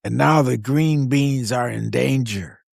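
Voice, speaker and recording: A man's voice: medium pitch, slow pace, creaky, dry recording, studio quality. A man co-hosting a podcast, giving scripted, conversational commentary in short, wry statements. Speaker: podcast co-host.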